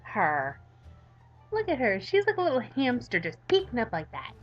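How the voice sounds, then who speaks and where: A woman's voice: a little high, huge pitch swings, moderate pace, highs cut off, soft background music. A woman with a cold giving theatrical, playful let's play commentary as she reads a visual novel aloud. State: has a cold, coughing. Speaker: gaming video host.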